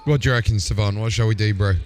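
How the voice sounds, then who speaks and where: A man's voice: on the low side, fairly even intonation, racing, a little mellow, soft background music. A man chatting casually and informally as a live game streamer, in a matey way with the odd mild swear. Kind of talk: casual gaming livestream chat.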